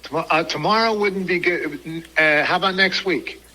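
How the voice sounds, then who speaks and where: An older American man calling in for a casual phone chat, halting as he searches for words. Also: a little high, pushed and bright, poor audio. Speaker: caller, customer.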